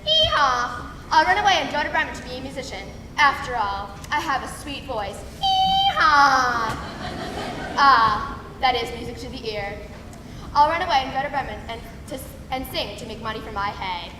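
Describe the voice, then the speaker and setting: Lady doing an old donkey in a kids play, grumbly n wry, high n super animated, echoey hall. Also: normal speed, noisy background. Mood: indignant, wry. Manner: theatrical, exclamatory, braying. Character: old, indignant, wry donkey with big ambitions. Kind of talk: children's folktale stage play. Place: large hall, stage performance. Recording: echoey hall, music behind.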